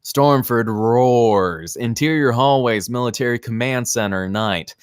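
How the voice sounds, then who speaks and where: A man's voice: medium pitch, a little slow, clear, resonant tone, no echo, clean studio sound. A man reading scripted screenplay narration in a theatrical, clipped present-tense style. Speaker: stage-direction reader at a table read.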